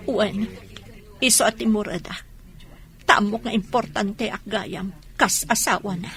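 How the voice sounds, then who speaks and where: A woman's voice: mid-range voice, fairly even intonation, unhurried, a bit bright. A woman playing a proud, hurt and firm widow in a Filipino radio comedy drama, with a Filipino accent. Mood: quietly bitter.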